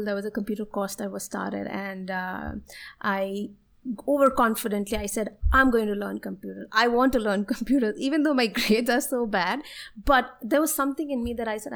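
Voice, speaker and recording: Hindi-speaking Indian woman telling her story. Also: medium pitch. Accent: Indian English. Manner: earnest and animated, laughs often while telling stories. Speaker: guest. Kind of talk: conversational interview storytelling.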